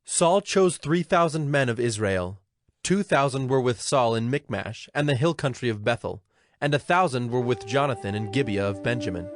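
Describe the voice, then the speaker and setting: A man's voice: mid-range voice, lively intonation, moderate pace, no room sound. A man reading scripted scripture narration as the narrator of a dramatized audio production. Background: music.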